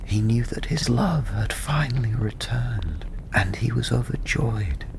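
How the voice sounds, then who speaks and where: A man softly narrating a fantasy story in ASMR style, breathy and often half-whispered, soothing and unhurried. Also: medium pitch. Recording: steady ambient background noise.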